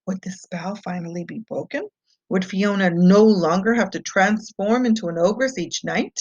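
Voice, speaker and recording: A woman reads a scripted fairy tale for children. Her voice sits at a middle pitch and she stresses words expressively as a storyteller. The home recording is a bit dull and lo-fi. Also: moderate pace, a little mellow. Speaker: storybook reader. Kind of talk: scripted children's read-aloud narration.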